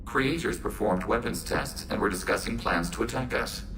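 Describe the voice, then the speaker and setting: Formal, emotionless synthetic android. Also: male voice, on the low side, normal speed. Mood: flat, formal. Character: calm, literal-minded collective-machine alien android. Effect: synthetic robot filter. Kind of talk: video game voice acting. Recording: clear background noise and ambience.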